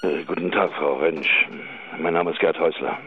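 A man's voice: a bass voice, fairly even intonation, normal speed, loud music behind the voice. An actor in a radio drama playing a formal, awkward chief inspector, officious and hesitant as he leaves a phone message. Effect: telephone filter. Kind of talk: German radio drama acting. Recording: tinny, echoey phone line.

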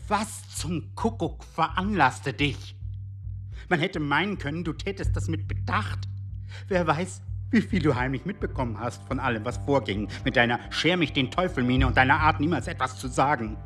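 An actor playing a middle-aged husband and secret agent in a radio play, husky, reproachful and self-pitying as he defends himself. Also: a little high, lively intonation, moderate pace, a bit bright. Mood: weary reproach. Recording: studio radio play with faint music.

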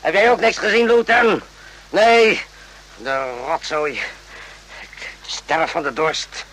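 An actor playing a volatile, worn-out army sergeant in a radio drama, barking demands and curses. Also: male voice, fairly high pitch, sing-song, slow pace, a bit bright, a little hiss or hum, exaggerated voice, rough recording. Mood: exhausted, irritable.

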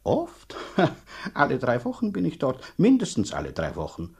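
An actor in a radio play voicing a blunt, talkative rural dean, sceptical and condescending, speaking in a husky, halting, emphatic way. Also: male voice, mid-range voice, wildly swooping pitch, a little mellow, exaggerated voice. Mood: incredulous, insistent. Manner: halting, emphatic, repeats himself. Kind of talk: German radio drama acting.

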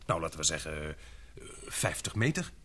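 A man's voice: very deep voice, sing-song, normal speed, a bit bright, exaggerated voice. A man acting in a radio drama, playing an earnest, practical adventurer who explains things eagerly. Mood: explaining. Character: Paul, earnest, practical adventurer, improvising a bold plan.

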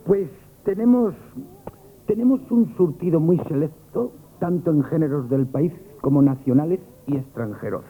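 An actor in a Spanish radio comedy playing a nervous, lovestruck young grocery lad, gushing and stammering with an accent from Spain. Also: male voice, fairly high pitch, moderate pace, breathy edge, phone-quality sound. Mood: eager patter. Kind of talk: 1970s radio comic theatre acting. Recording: band-limited vintage radio broadcast.

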